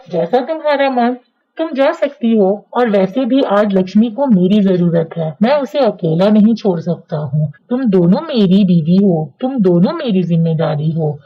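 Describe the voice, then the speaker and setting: A woman's voice: mid-range voice, voice pushed down. A woman voicing an earnest, protective male crow husband in a cartoon story, firm and reasoning. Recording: dull, lo-fi cartoon audio.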